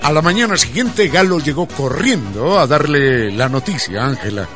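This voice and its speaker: An actor narrating a radio drama as a dramatic, playful storyteller. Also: male voice, a little high, animated, normal speed, loud music behind the voice, close to an everyday voice. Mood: wry, dramatic. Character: lively male storyteller narrator of a radio soap.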